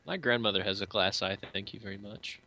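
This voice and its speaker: A man's voice: on the low side, fairly quick, sharp tone, muffled recording. A young man chatting casually and jokingly as co-host of a fan movie commentary, slipping into mock quoting voices.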